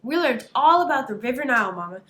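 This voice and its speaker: A woman reading the part of an eager, polite young boy crocodile, earnest and excitable, in a children's storybook. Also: high-pitched, quick pace, reverberant. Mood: eager, cheerful.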